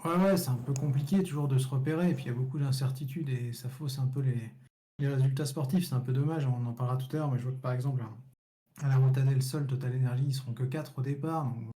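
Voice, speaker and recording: A man's voice: medium pitch, steady tone, fast talker, mellow. A man co-hosting a cycling podcast, giving frank opinions in a casual, repetitive way. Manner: opinionated, frank, repeats words as he goes.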